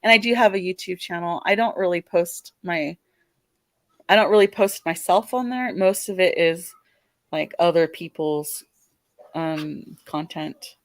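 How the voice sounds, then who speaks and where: Woman, Californian accent, caller on a live stream, hesitant, restarts a lot, lowish voice, dry close sound. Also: unhurried, no echo. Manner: hesitant, many restarts and fillers. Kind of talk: live call-in debate.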